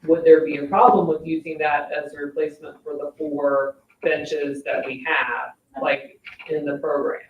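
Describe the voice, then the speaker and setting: A man's voice: fairly high pitch, flat delivery, normal speed, narrow, tinny audio. A man who is a board member, talking informally through questions at a meeting. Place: indoor public board meeting room. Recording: echoey meeting room, distant mic.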